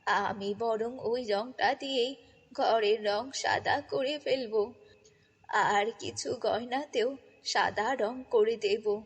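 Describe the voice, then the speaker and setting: A woman's voice: on the high side, takes it slowly, slightly rough, voice pushed down, full character voice. A woman voicing a kindly, worried old grandmother in a children's fairy-tale cartoon, coaxing and affectionate. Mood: worried, plotting. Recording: long reverb, music bed.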